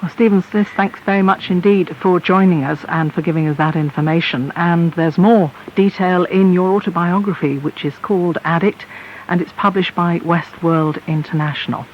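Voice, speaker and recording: A British woman hosting a radio interview, articulate and measured as she puts probing questions and presents the programme. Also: lowish voice. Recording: muffled hissy shortwave-style radio broadcast.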